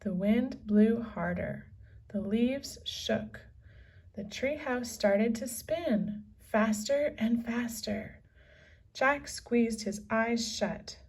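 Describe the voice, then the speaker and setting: A woman reading a children's story aloud as narrator, with measured, deliberate phrasing. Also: a little high, gravelly, a little mellow, husky. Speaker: storybook reader. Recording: slightly distant from the microphone.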